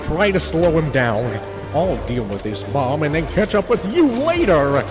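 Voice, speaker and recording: A man's voice: fairly high pitch, big pitch swings, a little slow, music in the background. A man playing a bold, bombastic superhero captain in an audio drama, commanding and exclamatory. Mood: heroic, commanding.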